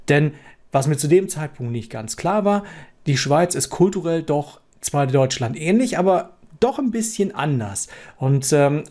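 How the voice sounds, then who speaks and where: A man's voice: fairly high pitch, a little mellow. A middle-aged man from Germany with a standard Hanover accent, casually telling his own story to camera with lots of fillers and restarts. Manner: conversational, frequent fillers and restarts. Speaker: software developer vlogger.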